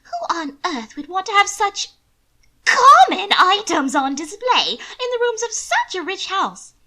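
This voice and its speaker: A woman voicing a fussy, indignant young noblewoman with a shrill, theatrical manner. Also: sing-song, a little slow, pushed and bright, full character voice. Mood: fretful.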